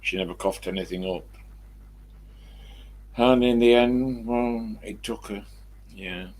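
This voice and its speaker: Old english bloke, deep husky croaky smokers voice, rambling away chatty on a livestream. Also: male voice, slow pace, darkish tone, rough recording. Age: 70s or older. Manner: chatty, mild swearing, answers his own questions. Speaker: live streamer. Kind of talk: rambling casual chat to live viewers.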